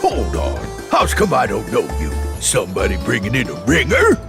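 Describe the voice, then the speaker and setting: A man voicing a boastful cartoon villain in a video game, gravelly, pompous and theatrical, with folksy mangled words. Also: on the low side, huge pitch swings, moderate pace, over music, exaggerated voice. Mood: scornful. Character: blustering, boastful male cartoon villain posing as a hero. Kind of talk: animated video game voice acting.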